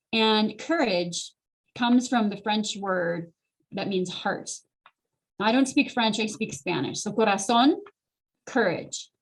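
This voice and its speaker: A woman's voice: medium pitch, husky, poor audio. A middle-aged woman speaking as host and career coach in a motivational online talk, earnest and conversational, addressing her listeners directly. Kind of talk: motivational webinar presentation. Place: online video call.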